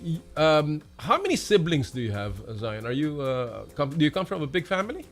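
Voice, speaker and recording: A Filipino man hosts a conversational interview in a fairly high voice. He feels his way into questions, repeating words. Soft music runs underneath. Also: big pitch swings, a little fast. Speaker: talk show host.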